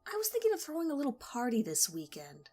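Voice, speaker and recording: A woman's voice: high voice, huge pitch swings, quick pace, darkish tone, breathy edge, faint music behind, voice pushed up, heavily acted. A woman doing a protective, coaxing teenage girl. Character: cautious teenage girl friend, protective and sly.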